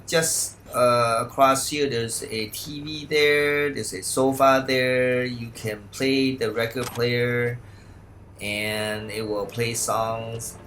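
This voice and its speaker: A man narrates a video game walkthrough off the cuff. His delivery is slow and halting, with a middling pitch. Faint music plays underneath his voice. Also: a bit bright. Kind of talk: unscripted walkthrough commentary.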